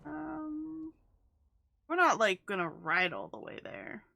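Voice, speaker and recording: Female streamer, casual playful commentary, bright, a bit high. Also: wildly swooping pitch, slow, gravelly. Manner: chatty, playful, laughs often. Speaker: game streamer.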